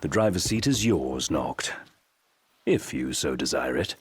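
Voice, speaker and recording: An actor playing a composed, refined young royal adviser in a video game, precise and formal. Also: male voice, a bass voice, animated, unhurried, heavily acted. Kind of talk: video game cutscene acting. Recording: clear car noise behind dialogue.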